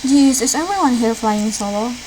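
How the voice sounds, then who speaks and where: A woman's voice: a little high, lively intonation, normal speed, darkish tone, a little hiss or hum, poor audio. A woman reading visual novel dialogue aloud as a let's player, lightly acting out the lines. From Indonesia. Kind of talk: read-aloud game dialogue, acted lightly.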